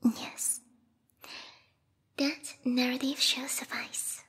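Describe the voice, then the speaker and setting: A woman voicing a formal, haughty bat girl who serves a vampire queen, speaking in a breathy, whispery ASMR roleplay style close to the microphone, politely menacing. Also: high-pitched, flat delivery, drawn-out words, fairly bright tone, big-room sound, heavily acted. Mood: scheming. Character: yandere bat monster girl, devoted servant of vampire queen. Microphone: close binaural microphone.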